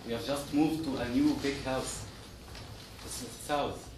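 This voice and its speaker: Slow, echoey man giving a speech. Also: medium pitch, steady tone. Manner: deliberate short simple sentences with pauses. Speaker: club member giving a speech. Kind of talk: prepared motivational storytelling speech. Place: meeting room, recorded from a distance. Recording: echoey room, distant mic, noisy.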